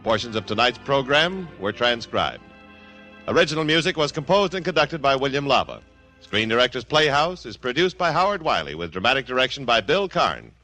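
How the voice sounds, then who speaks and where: A man's voice: medium pitch, big pitch swings, brisk, sharp tone, no echo, faint music behind. An American man announcing a radio show and its sponsor in scripted 1950s broadcast style.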